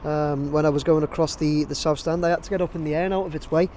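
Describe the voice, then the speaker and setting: A man in his thirties talks casually into a camera held at arm's length outdoors, with a fairly high voice that still sounds mellow and dark. He rambles and keeps restarting his sentences. Faint street noise sits behind him. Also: fairly even intonation, fast talker. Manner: rambling, many fillers and restarts. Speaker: drone videographer.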